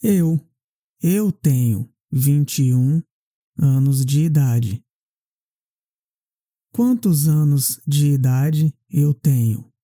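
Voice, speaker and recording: A woman giving a language lesson as a repetitive question-and-answer drill, in deliberate, carefully separated phrases. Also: low-pitched, mellow, no room sound, studio quality. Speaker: language teacher.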